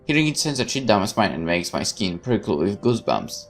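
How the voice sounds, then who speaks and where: A woman's voice: rumbling low, big pitch swings, brisk. A woman hosting a let's play, reading visual novel narration aloud and adding her own asides.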